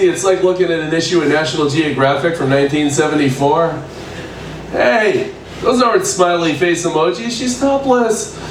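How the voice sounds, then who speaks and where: Man in his sixties telling dry one-liners. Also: on the high side. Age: 60s. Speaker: stand-up comedian. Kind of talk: stand-up comedy set. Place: open mic comedy venue. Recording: echoey room, distant mic, background noise.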